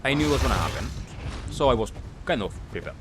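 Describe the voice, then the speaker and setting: A man giving casual gameplay commentary as a recap, talking in short clipped phrases with pauses. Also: medium pitch, expressive, noisy background. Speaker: gaming video creator.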